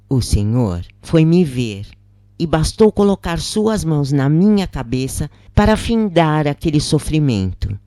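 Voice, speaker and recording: A narrator with a Brazilian accent reading a first-person spiritual account from a script in a measured, deliberate way with short pauses between phrases. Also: medium pitch, warm tone, no room sound, clean studio sound.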